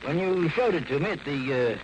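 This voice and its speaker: An actor playing an older, courteous and sly gentleman crook in a 1940s-style radio drama, talking in formal, wordy sentences. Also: a little high, expressive, fairly quick, lightly acted. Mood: genteel, sly. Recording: noisy old radio recording.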